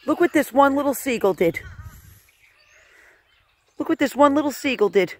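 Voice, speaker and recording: A woman's voice: high-pitched, expressive, moderate pace, darkish tone. A woman filming a casual outdoor vlog, exclaiming in amazement and repeating her phrases as she narrates to viewers. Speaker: animal sanctuary host filming a vlog. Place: outdoors at a rural animal sanctuary.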